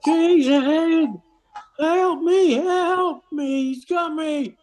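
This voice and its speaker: Panicky swamp man, acted by older woman, high drawn-out cries, amateur radio drama. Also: loud music behind the voice. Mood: terrified. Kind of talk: amateur radio play acting.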